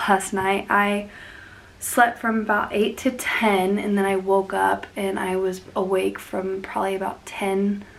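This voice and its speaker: A woman's voice: medium pitch, low-quality recording. A young woman hosting a casual plant vlog, sniffly and congested from being sick, rambling and laughing often as she talks. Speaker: plant channel host.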